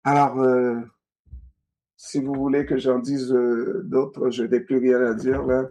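A man in a discussion, talking in an animated way, repeating himself and asking rhetorical questions. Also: medium pitch, takes it slowly.

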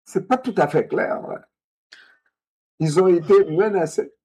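Animated man. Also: sits high, unhurried, breathy edge. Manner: animated, repetitive, rhetorical questions. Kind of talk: discussion.

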